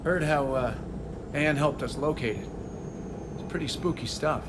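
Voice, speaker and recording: A man plays an easygoing pilot in a sci-fi game, reporting casually. His voice sits fairly high, with steady background noise. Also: a little slow, lightly acted.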